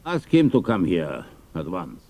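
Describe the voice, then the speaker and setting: An actor playing a curt, imperious German count and commanding officer in an audio drama. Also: male voice, deep voice, huge pitch swings, measured pace, creaky, a little mellow, full character voice.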